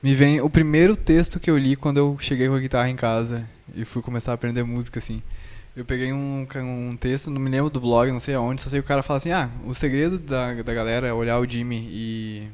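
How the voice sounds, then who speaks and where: A young man talks quickly in a relaxed, reflective way. He co-hosts a casual podcast, with fillers that run through his speech. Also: medium pitch. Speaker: podcast co-host. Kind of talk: casual chat.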